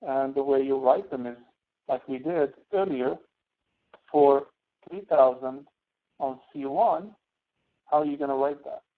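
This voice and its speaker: Phone-line male instructor with creaky voice. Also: mid-range voice, measured pace, pauses often. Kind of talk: tutorial walkthrough over screen share. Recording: tinny phone-like online meeting audio.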